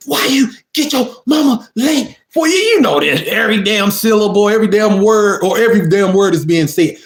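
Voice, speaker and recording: A young man, telling a childhood story casually to viewers and acting out the quotes. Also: sits high. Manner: animated, acts out quotes, asks "you see what I mean?". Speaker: storytime vlogger.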